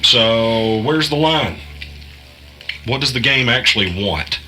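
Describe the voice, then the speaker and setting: A man's voice: mid-range voice, expressive, slow pace, pushed and bright, slight background noise, full character voice. A man playing a skeptical, rattled everyman caught up in a conspiracy, asking curt, doubtful questions in an audio drama. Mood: pressing, doubtful. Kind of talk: audio drama dialogue and first-person narration.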